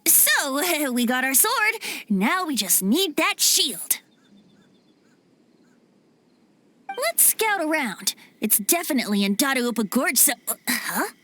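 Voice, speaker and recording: High-voiced, lilting young male bard. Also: big pitch swings, measured pace, few pauses, bright, forceful tone, a little hiss or hum, full character voice. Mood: playful, evasive. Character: playful young male bard, whimsical and poetic, teasing. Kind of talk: video game voice acting.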